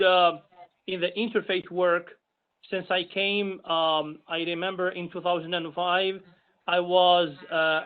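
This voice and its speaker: A man from Algeria whose first language is Arabic, an imam introducing himself at a public meeting in measured speech with many pauses. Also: high voice. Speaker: imam and commission member. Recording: tinny phone-like call audio.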